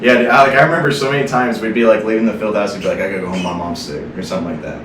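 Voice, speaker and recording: A man's voice: on the low side, fairly even intonation, rapid-fire. A young man hosting a podcast, bantering and telling stories casually with friends in slangy talk full of "dude" and "bro". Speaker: podcast host. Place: small indoor room. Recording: echoey small room, distant mic, noisy.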